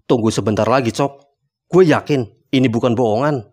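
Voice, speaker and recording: A man voicing a cautious, determined young amateur sleuth in a cartoon, with measured, casual speech. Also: medium pitch, background noise, natural voice. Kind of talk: Indonesian cartoon dialogue, colloquial. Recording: music behind the voice.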